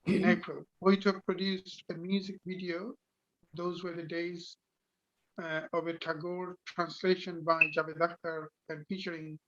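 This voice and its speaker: A male moderator introduces the next speaker with a fairly high, monotone voice. He reads the biography slowly, with pauses, and the audio is dull and lo-fi. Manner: measured, reading out a bio with pauses. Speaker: conference moderator.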